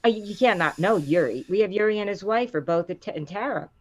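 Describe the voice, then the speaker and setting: A middle-aged woman with a low voice, a voice actor chatting in an interview and acting out the quotes in her stories. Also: fairly quick. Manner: animated storyteller, acts out quotes with rising pitch. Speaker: voice actor guest. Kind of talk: casual interview chat.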